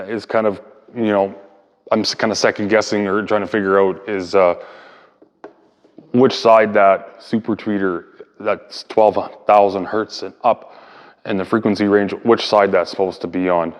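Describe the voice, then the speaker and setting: Male hobbyist host, low clean voice, informal hands-on explainer delivery, thinking aloud, reverberant room sound. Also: clean tone, dull audio. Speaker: hobbyist video host and speaker restorer. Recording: echoey room with long reverb.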